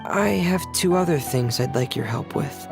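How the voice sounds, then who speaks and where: Reserved young mechanic, creaky voice. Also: male voice, mid-range voice, lively intonation, moderate pace. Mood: earnest, reserved. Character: soft-spoken, earnest young male mechanic and diver. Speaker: game character voice actor. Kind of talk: video game cutscene acting. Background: game background music.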